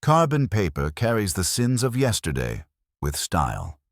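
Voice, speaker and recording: A man's voice: on the low side, huge pitch swings, moderate pace, dry recording, studio quality. A man narrating a detective audiobook in a wry, wisecracking first-person style.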